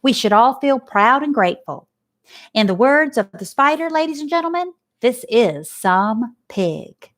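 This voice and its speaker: A woman reading aloud and voicing a pompous male fair loudspeaker announcer with a grand, booming delivery. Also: big pitch swings, a little slow.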